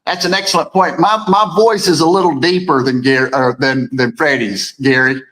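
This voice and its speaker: A man with a deep voice co-hosting a casual morning talk show, rambling and repeating words as he reads viewer comments. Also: fairly even intonation, normal speed, fairly bright tone. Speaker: co-host.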